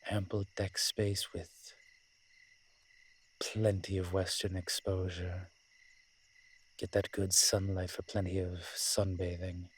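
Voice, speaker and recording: A man playing a wry, flirtatious immortal incubus demon in a roleplay audio drama, with a husky voice and a dry, teasing, conversational manner. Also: low voice, flat delivery, slow pace, pauses often, a little hiss or hum. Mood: musing, teasing.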